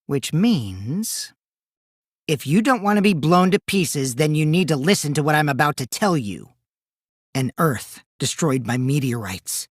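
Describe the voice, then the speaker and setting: A childish teenage schemer from a video game, a self-styled supreme leader of evil. The voice swoops dramatically between bratty teasing and sinister taunts, unhurried and recorded close and dry. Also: male voice, fairly high pitch, a bit bright, husky, dry recording, heavily acted. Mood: ominous, quiet. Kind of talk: English video game voice acting.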